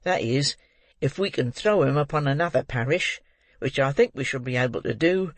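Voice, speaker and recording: A man voicing a pompous, self-important parish beadle who delivers stern, drawn-out pronouncements in a storybook reading. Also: medium pitch, dry recording, lightly acted. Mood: callous. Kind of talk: audiobook character reading.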